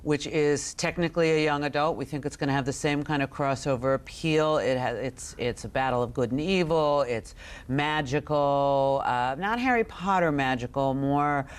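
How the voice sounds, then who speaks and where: A middle-aged woman giving an expert interview as a guest, speaking conversationally and haltingly as she thinks aloud. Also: extremely low pitch. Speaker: books editor, interview guest.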